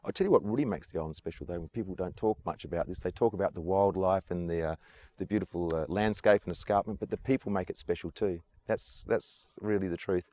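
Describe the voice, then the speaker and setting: Fast-talking, low-voiced Australian man. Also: warm tone. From Adelaide, South Australia. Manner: enthusiastic and earnest, repeats his praise. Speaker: tour guide. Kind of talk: casual interview answers. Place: outdoors on an island.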